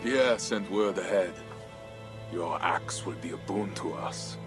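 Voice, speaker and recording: Brooding viking warlord, deep voice, solemn n proud, video game drama, music behind. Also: male voice, slow pace. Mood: grim, solemn. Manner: solemn, formal, archaic phrasing.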